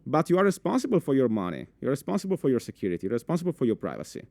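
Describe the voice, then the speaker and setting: Italian-accented man, conference speaker, mellow voice, dry sound, lively with lots of uh and restarts. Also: medium pitch, very fast, creaky, dry recording. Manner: animated, many fillers and restarts, asks the audience questions. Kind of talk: live conference talk. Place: conference room with a full audience.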